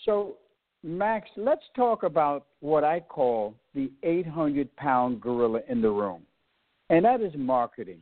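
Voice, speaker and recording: A man's voice: fairly high pitch, lively intonation, unhurried. Radio host man on a tinny line. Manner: affirming, asks long setup questions. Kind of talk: interview hosting.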